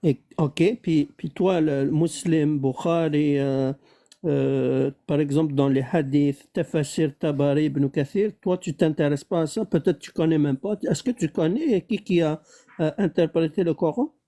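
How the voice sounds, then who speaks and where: A man's voice: on the high side, unhurried, dark tone. A man in an online debate who keeps pressing with persistent, probing questions.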